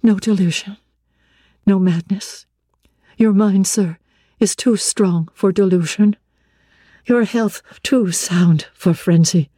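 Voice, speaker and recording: A woman narrator plays a young heroine of a classic novel, composed and quietly firm. Her voice is mellow and husky, gentle in manner. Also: medium pitch, takes it slowly, pauses often, no room sound, clean studio sound. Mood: reassuring. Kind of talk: dramatic audiobook reading of classic literature.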